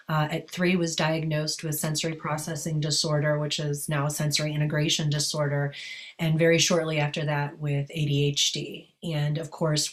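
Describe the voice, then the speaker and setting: A woman biologist and educator, hoarse from a cold, telling her story on a webinar in a measured way with fillers. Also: sits low. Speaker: biologist and educator, guest speaker. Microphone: video call.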